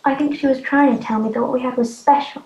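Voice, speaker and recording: A woman's voice: high-pitched, steady tone, fast talker, darkish tone. Young woman acting a defiant runaway girl, roomy sound. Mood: wistful, sad. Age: young adult. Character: defiant, hurt teenage runaway girl living wild. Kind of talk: youth theatre stage drama acting. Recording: muffled, roomy amateur recording.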